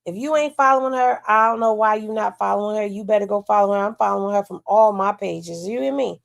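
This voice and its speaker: A woman's voice: medium pitch, fast talker, creaky. A woman hosting a livestream, chatting casually in with a drawling, folksy way of gossiping. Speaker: livestream host.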